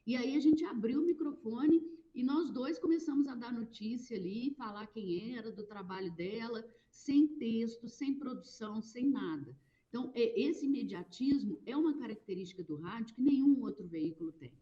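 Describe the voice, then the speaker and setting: Mellow-voiced woman on a dull line. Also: on the high side. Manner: reflective, explaining with pauses and restarts. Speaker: radio programmer, guest. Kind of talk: conversational interview.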